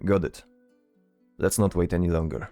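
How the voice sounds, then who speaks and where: Man as dutiful soldier, fantasy audio drama. Low, mellow, terse and serious. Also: fairly even intonation, moderate pace, dry recording, faint music behind. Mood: resolute. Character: earnest, dutiful male soldier in fantasy adventure.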